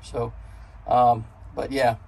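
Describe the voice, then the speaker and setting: A man's voice: mid-range voice, little pitch movement, slow, vocal fry, darkish tone, low-quality recording. A middle-aged man with an American accent giving a casual hands-on tutorial, explaining plainly step by step. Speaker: presenter of a do-it-yourself boat video. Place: on and around a boat outdoors, and at a workbench.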